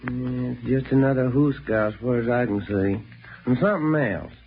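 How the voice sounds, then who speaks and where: An actor playing a cheerful, hot-headed detective with a folksy American Southern drawl in a 1940s radio drama. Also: male voice, mid-range voice, normal speed, natural voice. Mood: disgruntled. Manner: folksy, drawling, slangy grumbling. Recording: dull, noisy old radio recording.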